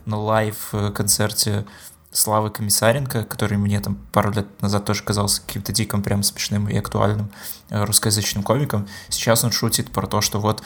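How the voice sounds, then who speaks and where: A man's voice: on the low side, monotone, fairly quick. A man co-hosting a podcast in casual chat, hesitant, with many filler sounds. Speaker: podcast co-host.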